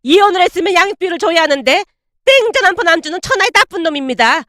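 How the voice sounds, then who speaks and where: A woman voicing a furious middle-aged mother-in-law who scolds loudly and scornfully in a dramatized story. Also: very high-pitched, lively intonation, fast talker, dry recording, clean studio sound, voice pushed up, full character voice. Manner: shouting, scolding, contemptuous.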